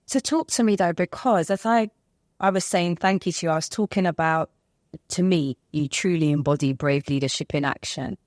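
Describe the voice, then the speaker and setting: Woman, podcast host, low pitch, dry close mic, affirming interviewer. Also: moderate pace, no room sound. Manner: affirming, warm-worded, builds long questions. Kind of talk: interview questions.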